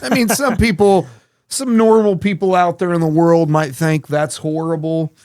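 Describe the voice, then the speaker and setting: A man's voice: on the high side, fairly even intonation, moderate pace, darkish tone, no room sound, clean studio sound. A man hosting a podcast who asks questions in casual, joking street slang. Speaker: podcast host. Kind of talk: casual interview.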